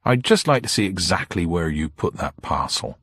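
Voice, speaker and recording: A male reader plays a formal police superintendent in a detective audiobook. He is brisk and politely insistent, with a husky edge and a dry, close sound. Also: low voice, expressive, fairly bright tone, no echo, full character voice.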